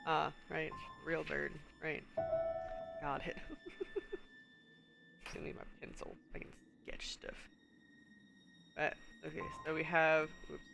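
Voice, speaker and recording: A woman with a blocked, sniffly nose talks through a puzzle game at her desk, mumbling half-thoughts and chuckling to herself. Her voice has a gravelly edge, and music plays clearly behind her. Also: low-pitched, little pitch movement, slow, long pauses, rough recording. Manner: muses aloud, reads in-game text, chuckles often. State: stuffy nose. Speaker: streamer. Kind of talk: casual gameplay commentary.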